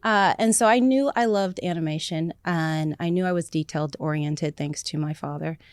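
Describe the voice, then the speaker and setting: Low-voiced enthusiastic woman guest. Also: moderate pace, clear, resonant tone, no room sound. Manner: enthusiastic, rambling with many fillers. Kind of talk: casual interview chat.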